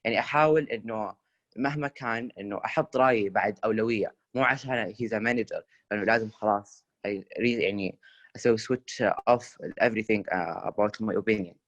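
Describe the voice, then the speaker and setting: A young man of nineteen with a Saudi Arabic accent speaks on a video call, very fast and a little low in pitch. He hops between Arabic and English and fills gaps with "uh". The sound is close and dry. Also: fairly bright tone, dry recording, highs cut off. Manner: fast code-switching between Arabic and English, many fillers. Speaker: student guest asking a question.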